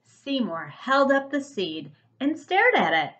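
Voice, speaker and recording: A woman reads a picture book aloud to small children like a first grade teacher. Her voice is bright and lively, with big swings of pitch and emphatic storytelling at a slow pace. The sound is a little dull.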